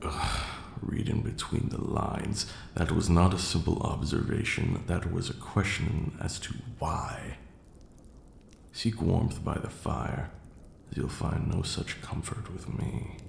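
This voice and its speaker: A man playing a stern, aloof vampire lord in an ASMR roleplay, speaking in a formal, haughty way with a gravelly, breathy near-whisper. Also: low-pitched, full character voice. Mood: grudging, exasperated. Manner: formal, haughty, slow scolding lecturing delivery. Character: stern aloof ancient male vampire lord, grudgingly caring. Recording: roomy echo, clear background noise.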